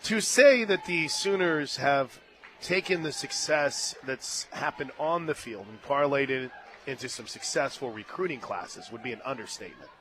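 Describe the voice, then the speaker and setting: A man's voice: medium pitch, expressive, moderate pace, a bit bright. An American man doing live play-by-play sports commentary on the radio from a stadium booth. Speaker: play-by-play announcer. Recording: faint music and crowd noise behind. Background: ballpark crowd and faint music.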